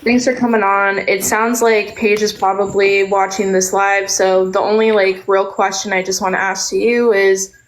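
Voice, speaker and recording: Woman on a live, polite n careful, asks her question kinda gently. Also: medium pitch, level tone, moderate pace, hardly any pauses, low-quality recording. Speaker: live stream participant. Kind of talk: casual live stream talk.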